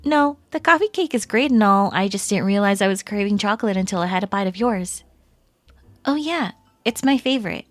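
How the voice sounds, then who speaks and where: A woman playing a chatty, teasing female best friend in casual, animated roleplay audio. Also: medium pitch, a little fast, no echo, soft background music, natural voice. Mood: cheerful.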